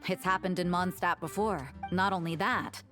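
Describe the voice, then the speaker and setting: A woman's voice: on the low side, steady tone, fairly bright tone, close to an everyday voice. Composed, knowing game character played by a woman, music behind. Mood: reflective. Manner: articulate, measured, reflective. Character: composed, knowing woman recounting knightly history. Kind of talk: video game dialogue, measured storytelling.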